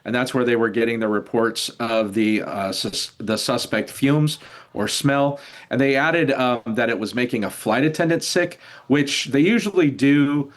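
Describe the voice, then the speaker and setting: An older man, an expert guest in a news interview, explaining in a measured, halting way. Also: medium pitch. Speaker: retired air traffic controller, expert guest.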